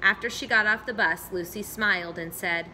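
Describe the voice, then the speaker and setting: A woman storyteller reading a children's story aloud in an expressive narrating style. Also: medium pitch, normal speed, a little hiss or hum.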